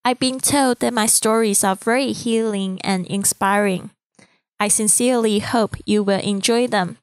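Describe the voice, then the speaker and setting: A woman in her thirties from Taiwan with a Mandarin accent, a podcast host reading a calm, earnest, inspirational script. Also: mid-range voice, measured pace, clear, resonant tone, dry recording. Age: 30s.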